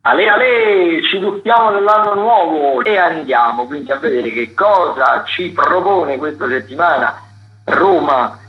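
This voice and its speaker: A man's voice: on the high side, measured pace, highs cut off. A man giving a casual, chatty rundown of theatre events as a radio critic, often wandering into playful asides.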